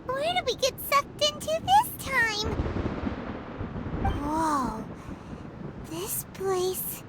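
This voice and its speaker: A woman voicing a tiny excitable fairy companion in an anime-style video game, with a squeaky, childlike, exclamatory delivery. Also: very slow, heavy background noise, heavily acted. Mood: startled, confused. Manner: exclamatory, refers to self by name. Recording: loud music behind the voice.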